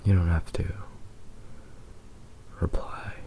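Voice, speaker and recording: A man playing a possessive, doting fox-boy demon lover in a tender, hushed ASMR roleplay, with lingering pauses. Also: close to an everyday voice. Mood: adoring, soothing.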